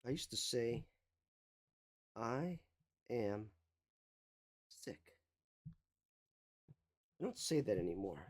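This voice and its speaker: A mindset coach giving an emphatic motivational talk, repeating key phrases, with a slight cough. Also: male voice, medium pitch, big pitch swings, very slow, pauses often, darkish tone.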